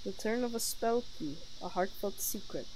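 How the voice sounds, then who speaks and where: A woman's voice: mid-range voice, moderate pace, a little mellow, a little hiss or hum, rough recording. A woman game streamer doing casual let's-play commentary and reading lines aloud, with sarcastic asides and some swearing. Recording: game music behind, streamed audio.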